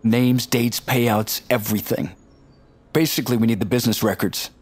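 An actor playing an eager, cocky, talkative tech strategist in a naturalistic audio drama. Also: male voice, mid-range voice, steady tone, normal speed, lightly acted. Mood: confident. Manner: talkative, boastful, informal. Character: eager, cocky, nerdy male tech strategist, chatty roommate.

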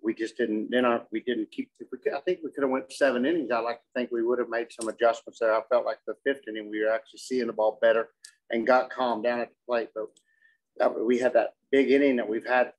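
A middle-aged man speaks as a coach at a postgame press conference. His pitch is medium, and he talks in a measured, halting way, restarting phrases and repeating words as he answers. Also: little pitch movement, poor audio. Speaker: softball coach. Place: indoor press conference room with curtain backdrop.

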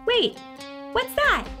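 A middle-aged woman hosting a children's read-aloud, speaking expressively and emphatically with dramatic pauses. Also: very high-pitched, pushed and bright. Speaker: children's storytime host.

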